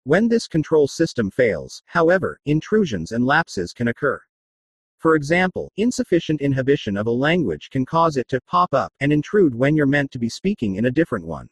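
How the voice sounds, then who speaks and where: A man narrating a scripted documentary report, explaining things clearly as a reporter who speaks English first. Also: medium pitch, sing-song, brisk, no room sound.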